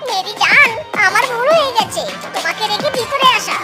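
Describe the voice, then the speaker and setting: Cartoon fox thief, male, extremely high voice, boastful and wheedling, exclamatory delivery, loud music underneath. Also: animated, fairly quick. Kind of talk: Bangla children's cartoon voice acting. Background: children's music.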